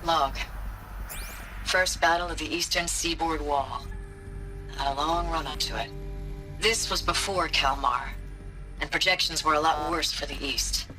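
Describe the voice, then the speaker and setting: A man playing a battle-worn soldier dictating a war log for a video game. The voice is on the high side for a man, wry and tired, with music clearly playing behind it. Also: measured pace, long pauses, close to an everyday voice, poor audio. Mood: weary recounting. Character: weary, wry male soldier facing a doomed war.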